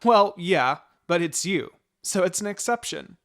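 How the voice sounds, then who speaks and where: Man playing a pouty small boy, storybook character, whiny bargaining, lively high-ish voice. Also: unhurried, exaggerated voice. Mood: cheeky. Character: cheeky, pouting, stubborn little boy.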